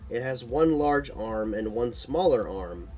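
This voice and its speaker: A man's voice: medium pitch, animated, a little slow, darkish tone. Male storyteller reading over music. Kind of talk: dramatic narrated reading of a horror fantasy story.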